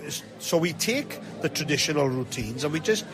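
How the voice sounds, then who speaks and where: A man's voice: a little high, expressive, normal speed, crowd noise. A man, a pantomime actor, chatting casually in an interview in a jovial, self-deprecating way. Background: music.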